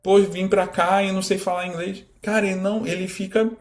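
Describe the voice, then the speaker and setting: A Brazilian man in his thirties from Rio, a guest talking casually in an interview, animated and full of fillers. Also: sits high, a little fast. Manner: animated, quotes imagined speech, many fillers.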